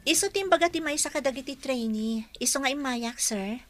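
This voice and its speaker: A woman's voice: high-pitched, expressive, fairly quick, soft background music. An Ilocano-accented woman playing a polite, deferential office employee in a radio drama. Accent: Filipino (Ilocano).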